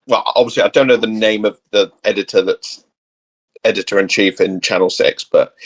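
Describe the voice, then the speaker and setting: Slightly low-voiced man, meandering chat. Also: breathy edge, low-quality recording. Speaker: podcaster guest co-host. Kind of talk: casual chat, explaining ideas off the cuff.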